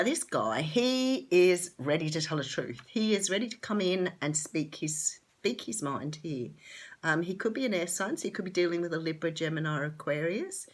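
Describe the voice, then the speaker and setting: A woman with an Australian accent, nasal and sore-throated from a cold, chatting casually and enthusiastically through a tarot reading. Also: lowish voice. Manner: chatty, enthusiastic, many ums. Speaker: tarot reader.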